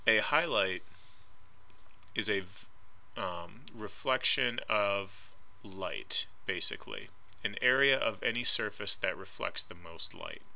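Man art instructor, slide voice-over, bright lower voice, casual and hedging. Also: very animated, slow, vocal fry. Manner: casual and hedging, frequent ums. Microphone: voice-over recorded over slides.

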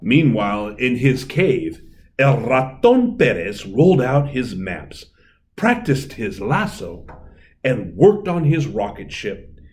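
Middle-aged man, children's story reading, deliberate, expressive, medium pitch, lively intonation. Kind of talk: storybook read-aloud, bilingual. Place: indoor room, seated at a table.

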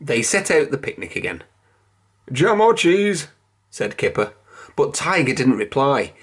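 A reader telling a children's picture book aloud in a measured, expressive storytelling manner. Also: medium pitch, breathy edge.

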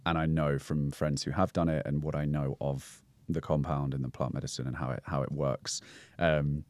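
A man in his thirties with an extremely deep voice, speaking in a calm, measured, considered way. He explains things at an easy pace on a close studio mic. The sound is dry and close. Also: no room sound. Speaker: breathwork guide, podcast guest. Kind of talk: casual explanatory interview talk. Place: small studio lounge set. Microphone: boom-arm studio mic.

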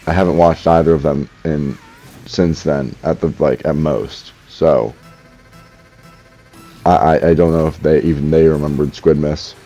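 A young man with a deep voice, reading viewer comments aloud while gaming at home, dry and a little sarcastic, often stammering as he restarts his sentences. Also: fairly even intonation, unhurried, mellow, soft background music. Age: young adult. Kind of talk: casual commentary while playing. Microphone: headset. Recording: slightly dull lo-fi audio.

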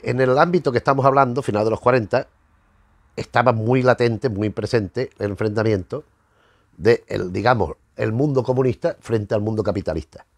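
A man's voice: on the low side, darkish tone, husky, no room sound. A middle-aged man with a Spain Spanish accent, explaining informally to camera in a rambling, conversational way, often circling back to rephrase. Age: middle-aged, 50s. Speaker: host of a retro comics channel. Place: small indoor room lined with bookshelves.

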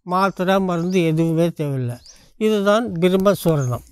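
An older man with a fairly high, smooth, resonant voice explains things straight to the camera. He speaks at an unhurried pace and lists items one by one. He is recorded outdoors among trees on a clip-on mic. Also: expressive, a little mellow. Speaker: traditional medicine practitioner.

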